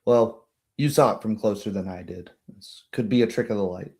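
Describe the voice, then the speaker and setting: A man voicing a small, blunt gnome woman in a tabletop game, rambling informally and haltingly as she explains things. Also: fairly low pitch, creaky, a little mellow. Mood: musing, uncertain. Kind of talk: tabletop roleplay dialogue.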